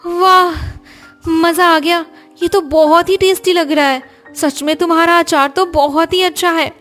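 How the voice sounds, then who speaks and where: Woman as cartoon neighbour wife, high voice, sweet-talking, crafty, familiar, light music behind. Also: fairly even intonation, measured pace, a bit bright. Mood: gushing. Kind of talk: animated family story dubbing.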